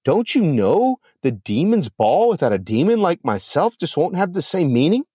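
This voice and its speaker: A man voicing a vain, haughty female demon with a shrill, indignant manner. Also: very animated, moderate pace, a little mellow, no echo, clean studio sound. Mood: outraged.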